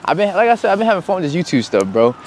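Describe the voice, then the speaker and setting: A young man, chatting casually to viewers in a slangy, relaxed way as he walks through a store and a parking lot. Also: sits high, very fast, a little hiss or hum. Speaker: vlogger. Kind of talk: casual vlog chat. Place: outdoors in parking lots and inside a big store.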